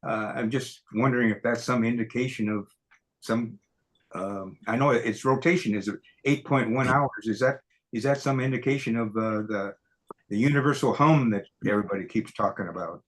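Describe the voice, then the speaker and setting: A man musing slowly on a video call, hesitant with many fillers. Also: mid-range voice. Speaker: meeting participant. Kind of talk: casual chat on a video call.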